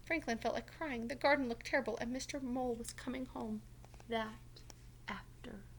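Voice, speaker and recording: A woman's voice: on the high side, steady tone, moderate pace, slight background noise, rough recording. A woman reading a children's story aloud as a teacher, expressively, with simple explanatory asides to young listeners.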